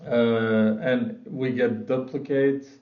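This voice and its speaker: A man's voice: mid-range voice, fairly even intonation, slow pace. A man who grew up in Sweden, role-playing a business client who describes his company's problems, often repeating words as he goes. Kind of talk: role-play sales meeting. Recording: dull sound in an echoey room.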